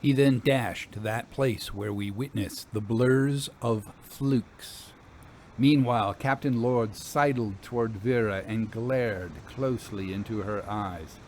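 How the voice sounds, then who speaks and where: A man narrating a scripted ghost story in the first person with a deliberate, literary, dramatic delivery. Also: lowish voice, darkish tone, slight background noise.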